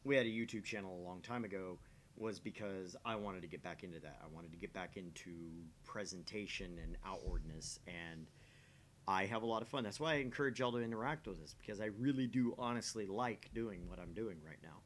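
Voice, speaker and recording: A man's voice: a little fast, a bit bright. A man hosting a livestream, chatting casually and earnestly into a microphone. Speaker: livestream host of a gaming channel. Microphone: microphone on a stream setup. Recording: noisy mic with low rumble.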